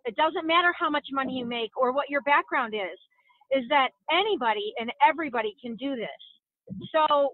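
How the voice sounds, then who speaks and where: A woman's voice: high-pitched, no room sound. A woman giving an earnest, encouraging wrap-up on a conference call, with many fillers. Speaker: network marketing leader. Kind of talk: motivational call talk. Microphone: phone on a conference call. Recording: tinny phone line.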